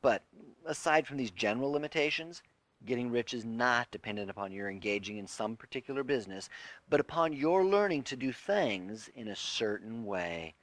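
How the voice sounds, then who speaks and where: A man narrating a self-help audiobook in a deliberate, emphatic, instructive way. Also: medium pitch, animated, a bit bright, no echo. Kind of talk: scripted audiobook reading of a self-help text.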